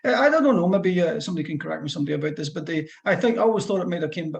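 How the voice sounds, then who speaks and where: Brief: Fife-accented driving instructor, middle-aged man, fairly high voice with heavy rasp, talky and meandering. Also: lively intonation, rapid-fire. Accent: Scottish, Fife. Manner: chatty, rambling explanations with restarts. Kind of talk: one-to-one lesson over a call.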